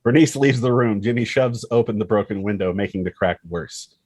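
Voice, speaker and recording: A man's voice: fairly low pitch, brisk, darkish tone, slightly rough, no echo. A man reading stage directions as the narrator of a screenplay table read.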